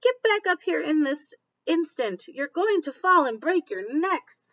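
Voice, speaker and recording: A woman's voice: sits high, big pitch swings, unhurried, fairly bright tone, no echo, lo-fi sound, heavily acted. A woman voicing a strict, scolding mother bird in a storybook read-aloud. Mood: stern scolding.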